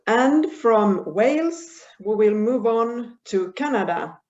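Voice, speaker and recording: A woman's voice: medium pitch, a little mellow. Courteous woman moderator, muffled audio. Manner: courteous, formal. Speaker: webinar moderator. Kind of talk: moderating introductions.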